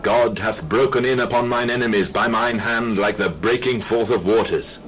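A low, earnest male voice, an actor as a devout warrior leader in a Bible drama. He speaks solemnly, with faint noise behind him. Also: moderate pace, fairly bright tone, natural voice. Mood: exultant.

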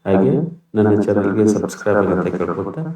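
A man's voice: low voice, fairly even intonation, quick pace, mellow. A man presenting an informal step-by-step tutorial demonstration.

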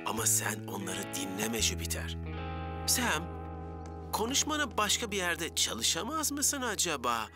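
Higher-pitched goofy young fireman. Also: huge pitch swings, measured pace. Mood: fussy, protective. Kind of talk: animated cartoon dub. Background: background music.

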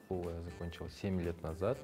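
A man in his twenties answering briefly and low-key in a studio interview, with a lapel mic. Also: little pitch movement, brisk, over music. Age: 20s. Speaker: camera operator, show participant. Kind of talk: casual interview. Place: studio with grey backdrop.

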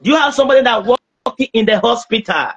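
A young man speaking as a prophet praying over someone on a video call, insistent, halting and repeating himself. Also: very high voice, expressive, fairly bright tone, lo-fi sound. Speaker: preacher, self-styled prophet. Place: small indoor room. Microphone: phone at arm's length on video call.

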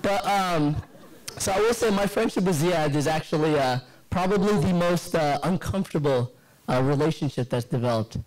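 Hoarse young man, slightly high voice, rambling wedding toast, handheld mic, banquet hall. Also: animated, normal speed, darkish tone. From Yemeni descent. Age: 20s. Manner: rambling, self-deprecating storytelling with many fillers. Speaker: friend giving a wedding speech. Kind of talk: humorous wedding speech. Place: large banquet hall, indoors. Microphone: handheld microphone.

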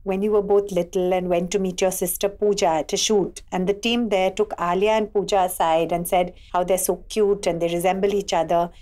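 A woman's voice: mid-range voice, steady tone, brisk, few pauses, warm tone. A woman in her forties, a talk show host from India, chatting casually in a studio living-room set with many "you know" fillers. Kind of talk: casual interview chat.

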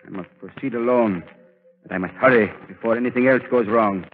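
An actor playing an arrogant megalomaniac mind-reader villain in a 1930s radio drama, pompous, imperious and theatrical. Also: male voice, mid-range voice, fairly quick, natural voice. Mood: scheming, brooding. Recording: muffled, noisy 1930s radio recording, reverberant.

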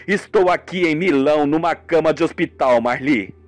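A man's voice: fairly high pitch, normal speed, no echo, pitched below the performer's own voice, lightly acted. Man acting a plaintive, confiding young man. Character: young male actor, plaintive hospital patient on the phone.

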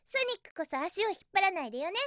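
Very high, perky cartoon hedgehog girl. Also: animated, rapid-fire, dry recording, clean studio sound. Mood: upbeat, eager. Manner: bright, girlish, emphatic, bossy. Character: perky, feisty young female pink hedgehog heroine. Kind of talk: Japanese video game voice acting.

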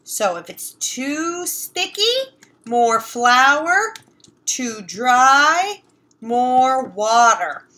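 Young female teacher, slightly high voice, friendly children's lesson, plain repetitive explaining. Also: animated, extremely slow, pushed and bright. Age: young adult. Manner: simple, step-by-step explaining with repetition. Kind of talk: friendly instructional talk to children. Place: home kitchen, indoors. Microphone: camera at about arm's length.

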